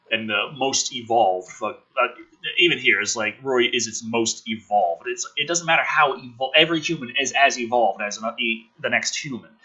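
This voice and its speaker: A man's voice: medium pitch, bright, forceful tone, breathy edge, muffled recording. A man hosting a show, mixing ranting commentary with dramatic script reading in a sarcastic, sweary, informal way.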